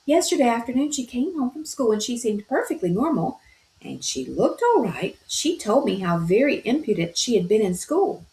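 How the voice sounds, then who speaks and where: A woman's voice: fairly high pitch, very animated, moderate pace, a little mellow, pitched above the performer's own voice. Woman voicing a fretful, prim mother. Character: worried proper mother. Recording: roomy echo.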